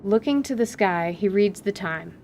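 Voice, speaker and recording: A woman's voice: expressive, normal speed, darkish tone. A woman reading scripted story narration as the narrator of an audio drama. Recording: noisy recording with low rumble.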